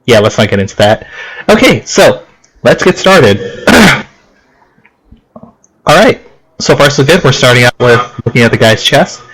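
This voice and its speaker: A man's voice: medium pitch, big pitch swings, measured pace, long pauses, a bit bright, breathy edge, rough recording. A man from Okinawa doing casual game commentary and reading on-screen text, in a rambling, self-deprecating, giggly manner. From Okinawa, Japan. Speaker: let's play host. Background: game music.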